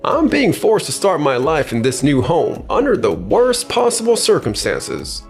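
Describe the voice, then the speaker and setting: Man acting indignant client with swooping pitch. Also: huge pitch swings, unhurried, vocal fry, faint music behind, heavily acted. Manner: formal, complaining. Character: indignant male client, stern, formal, accusing homebuyer. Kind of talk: expressive manga dub voice acting.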